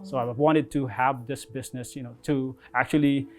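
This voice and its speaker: A man's voice: medium pitch, fairly even intonation, darkish tone. Filipino guy, middle-aged shop owner, earnest, lots of uhs, faint music behind. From Philippines. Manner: earnest, halting with fillers. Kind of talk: interview.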